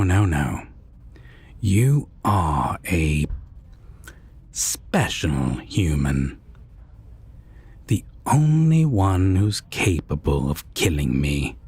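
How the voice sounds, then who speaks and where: Vampire tyrant villain, performed by a man: very low, extremely husky, sadistic and condescending, for dark audio roleplay. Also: full character voice. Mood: menacing, possessive. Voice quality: husky, breathy, often near whisper. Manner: menacing, slow, deliberate, condescending.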